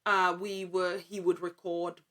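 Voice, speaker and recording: A woman speaking as an interview guest, chatting casually with halting, emphatic repetition. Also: fairly low pitch, flat delivery, fairly bright tone. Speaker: actor and podcast guest.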